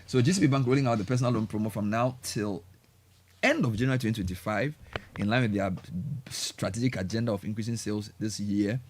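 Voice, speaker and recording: A man's voice: fairly low pitch, fast talker, darkish tone, slight background noise. A man with a Ghanaian English accent hosting a live breakfast radio interview, teasing his guests and laughing often. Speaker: radio show host. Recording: radio studio with faint ambience.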